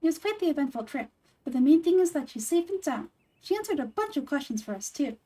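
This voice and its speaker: A woman voicing a small, chirpy, excitable childlike fairy companion in a video game, with a high squeaky voice. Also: fast talker. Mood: curious, chatty. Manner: excitable, perky.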